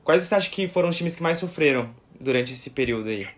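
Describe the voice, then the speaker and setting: A man co-hosting a video call, quick and jokey in manner. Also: mid-range voice, expressive. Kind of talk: casual video-call interview.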